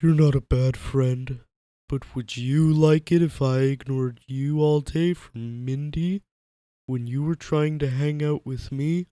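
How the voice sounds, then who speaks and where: A man's voice: medium pitch, fairly even intonation, slow pace, dark tone, no echo, studio quality, voice pushed up, natural voice. Cartoon starfish best friend played by a man: exasperated but caring, plaintive, voice pushed upward. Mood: firm. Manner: plaintive, reproachful. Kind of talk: dramatic fan fiction reading.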